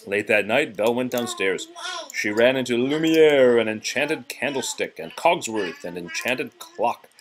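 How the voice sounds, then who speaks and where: Animated man reading a storybook aloud. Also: mid-range voice, measured pace, crowd noise. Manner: expressive, prompting the child with questions. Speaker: storybook reader to a child. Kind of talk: children's read-aloud narration.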